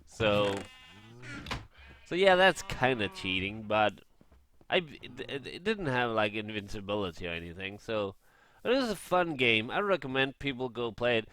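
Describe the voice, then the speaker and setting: A man gaming vlogger chatting casually and haltingly in a rambling review over gameplay. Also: medium pitch, sing-song, a bit bright, dry recording.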